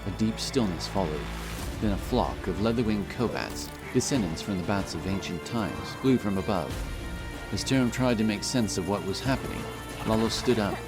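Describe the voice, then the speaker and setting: A man's voice: on the low side, lively intonation, normal speed. A man reading scripted fantasy narration as a dramatic storyteller. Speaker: audiobook narrator. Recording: loud music behind the voice.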